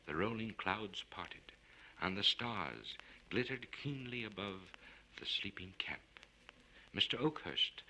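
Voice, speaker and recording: A man giving a theatrical, measured and formal narration of a literary short story. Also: lowish voice, bright, forceful tone. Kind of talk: scripted dramatic narration. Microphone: studio recording for LP. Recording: noisy vintage spoken-word record.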